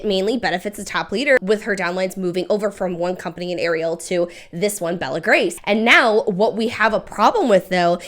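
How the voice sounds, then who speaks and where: A young woman host with a hoarse voice after illness, talking in blunt, chatty, critical commentary. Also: mid-range voice. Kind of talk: fast casual commentary to camera.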